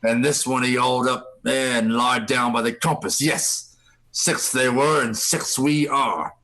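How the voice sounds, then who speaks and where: Male actor as scheming old pirate leader, gravelly, folksy sea-dog talk, bright hard edge, radio drama. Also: medium pitch, fairly even intonation. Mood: musing, commanding. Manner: folksy pirate speech, drawn-out emphasis. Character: wily, bold old one-legged pirate ringleader. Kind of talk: live radio play acting.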